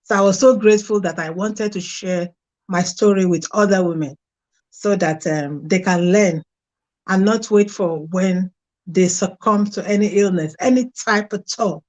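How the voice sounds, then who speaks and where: A woman's voice: medium pitch, moderate pace, darkish tone. A middle-aged woman from Nigeria with a Nigerian accent, a guest frankly telling her life story in an interview. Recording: dull lo-fi sound.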